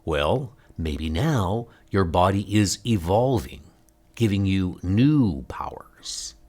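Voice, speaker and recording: A man voicing a grave, earnest older scientist hero in a comic book dub, explaining things deliberately. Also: low voice, very animated, a little mellow, heavily acted. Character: grave older male scientist hero hunting missing niece.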